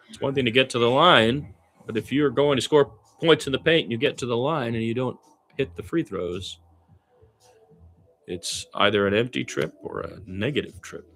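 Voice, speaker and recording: A man doing basketball radio play-by-play, candid and colloquial, with casual partisan asides and an excitable streak on big plays. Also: medium pitch. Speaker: play-by-play commentator.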